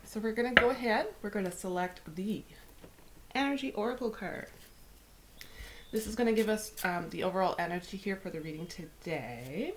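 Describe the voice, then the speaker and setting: A woman reading tarot cards, her voice a little raspy from a starting head cold. She speaks at a middling pitch, soft and unhurried, now and then dropping to a whisper. A faint hiss sits under the recording. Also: expressive. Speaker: tarot reader.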